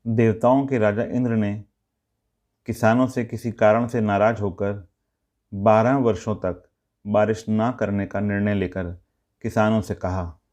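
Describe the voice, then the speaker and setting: A man narrating a scripted moral story as a storyteller, in measured, deliberate short phrases. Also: on the low side, darkish tone.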